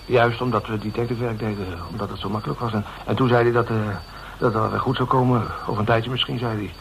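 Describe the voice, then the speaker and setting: A man's voice: medium pitch, fairly even intonation, gravelly, natural voice. Radio drama role: frightened male colleague played by a man, guilt-laden, stumbling over words, faintly noisy recording. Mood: ashamed, halting. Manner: halting, stammering, repeats words. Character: frightened, guilt-ridden man confessing to his detective colleagues. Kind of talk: Dutch radio drama acting.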